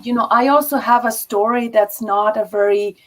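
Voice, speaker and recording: A woman's voice: fairly high pitch, fairly even intonation, moderate pace, fairly bright tone, poor audio. A middle-aged woman hosting an interview, talking in an encouraging, reassuring way. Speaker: interview host. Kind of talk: supportive interview chat.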